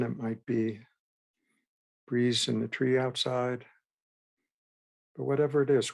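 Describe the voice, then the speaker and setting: A man guiding a meditation as a teacher, with gentle, unhurried instruction and frequent pauses. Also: medium pitch, creaky. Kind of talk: guided meditation.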